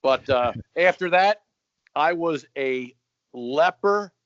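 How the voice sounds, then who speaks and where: A middle-aged man co-hosting a podcast, telling stories in a lively, joking, casual way. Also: a little high, slow, no room sound. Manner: animated storyteller, joking. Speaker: podcast co-host. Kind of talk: casual chat. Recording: dry, slightly dull sound.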